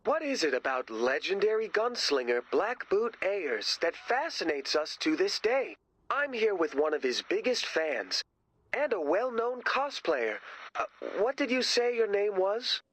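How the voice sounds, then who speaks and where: A cocky rock drummer from a video game, fronting his own show. His pitch swings widely as he promotes himself with grandiose, dramatic showmanship. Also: very animated, a little slow, a bit bright, no echo, heavily acted. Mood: showy, upbeat. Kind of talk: video game voice acting as a showy TV presenter.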